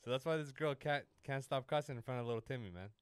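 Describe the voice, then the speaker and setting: Young man, teasing, crude banter, mid-pitched, dry close sound. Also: fast, smooth, dry recording. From Canada. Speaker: host of a stream chatting with strangers. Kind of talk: casual banter.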